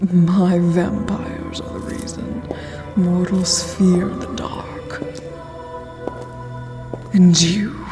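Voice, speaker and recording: A woman voicing an ancient vampire queen in a fantasy audio roleplay, regal and threatening, often dropping to a whisper. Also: on the low side. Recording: long hall reverb, music underneath. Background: clear background music.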